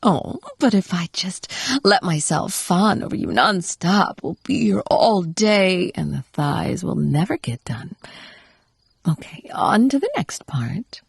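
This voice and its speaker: Girl doing a clingy yandere wife for audio rp, lowish husky whispery voice, super close and dry, teasing and doting. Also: lively intonation, no echo, heavily acted. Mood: fawning, affectionate. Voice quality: breathy, often dropping to a whisper. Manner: coaxing, cooing pet names, unhurried murmuring. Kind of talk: intimate audio roleplay addressed to the listener.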